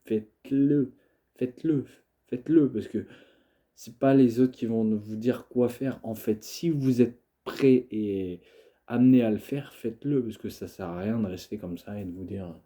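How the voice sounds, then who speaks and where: Dark-voiced young man with a cold. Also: medium pitch, unhurried. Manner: hesitant, many fillers and restarts. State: has a cold, coughing. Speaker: video creator. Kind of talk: casual monologue to camera.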